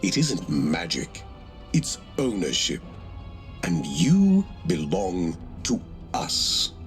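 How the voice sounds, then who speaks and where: A domineering villain lord for a game cutscene. His low voice drags slowly and swings with contempt, over faint music. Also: wildly swooping pitch, exaggerated voice. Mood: contemptuous menace. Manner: sneering, domineering. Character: arrogant, menacing lord of money and power. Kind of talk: scripted video game villain acting.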